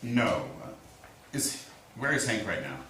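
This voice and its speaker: A man acting in a staged reading as a steady, apologetic small-town lawman, speaking in a measured, reassuring way. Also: fairly low pitch, full character voice. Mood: grave, hesitant. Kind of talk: staged play reading, naturalistic. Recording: echoey room, distant mic, faint hiss.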